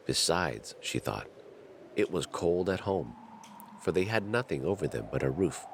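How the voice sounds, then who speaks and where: A man with a deep, low voice narrates a fairy tale. His delivery is dramatic and richly varied in pitch, with faint ambient noise behind him.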